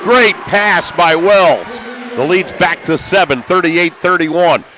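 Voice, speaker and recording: An American man doing live basketball play-by-play as a broadcaster, excitable and raising his voice with the action. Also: sits high, slow. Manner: excitable, calls out action with raised voice. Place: courtside in high school gymnasium. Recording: live gym broadcast with background noise.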